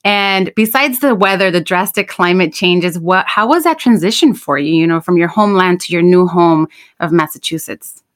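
A woman hosting a podcast, introducing a guest and asking measured, friendly questions. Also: on the low side. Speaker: podcast host.

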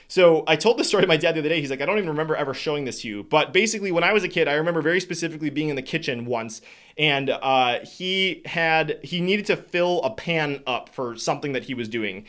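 A man's voice: on the high side, fairly bright tone. A young adult man speaking earnestly and reflectively to his audience, sharing lessons he learned, sometimes stopping to correct himself. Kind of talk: casual talk to an audience.

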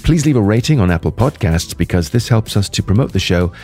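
Hoarse, deep-voiced male podcast host. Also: lively intonation, dark tone, soft background music. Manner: speaking rather quickly, with frequent restarts. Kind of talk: solo podcast episode wrap-up. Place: at a sales conference.

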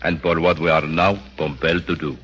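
An actor playing a sinister foreign gang leader, formal and controlling, in a 1940s radio crime drama. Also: male voice, very deep voice, little pitch movement, a little fast, a bit bright. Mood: quietly threatening. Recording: muffled 1940s radio recording.

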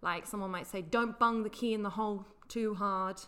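A woman's voice: medium pitch, steady tone, moderate pace, a bit bright. A woman co-hosting a casual chat, explaining slang with frequent laughter. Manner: laughs often, explains patiently. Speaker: podcast co-host.

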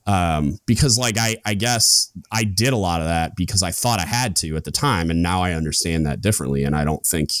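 A man hosting a podcast, talking frankly in a loud booming voice, rambling with lots of "like" and casual swearing. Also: lively intonation, a little fast, few pauses, dry recording, studio quality. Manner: rambling, heavy use of "like", frank and profane. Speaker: podcast host. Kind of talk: casual chat.

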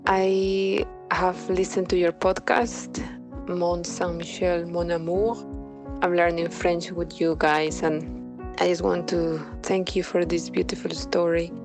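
A woman from Ecuador leaving a heartfelt voice message, speaking halting English. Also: lowish voice, flat delivery, music in the background. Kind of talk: casual voice message.

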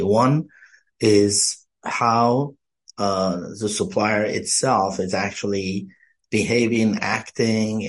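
A man speaking as a podcast guest, hoarse today, explaining earnestly with many fillers. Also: lowish voice. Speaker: podcast guest, product development head. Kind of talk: casual podcast chat.

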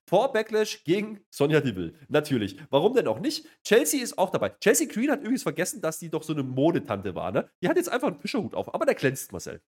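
Animated, fast-talking man, sarcastic delivery. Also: on the high side. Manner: sarcastic, mock-enthusiastic recapping. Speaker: wrestling show review co-host. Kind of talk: casual unscripted review banter.

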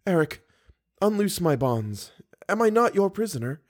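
A man's voice: fairly high pitch, expressive, brisk, voice pushed up. A man voicing a frightened captive young woman in an audiobook, breathless, urgent and pleading. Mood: alarmed, pleading.

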